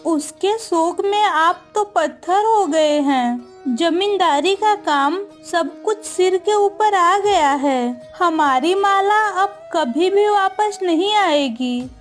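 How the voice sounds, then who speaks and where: A woman's voice: very high voice, full character voice. A woman voicing a sorrowful but steadfast landlord's wife in a cartoon moral story, pleading and consoling.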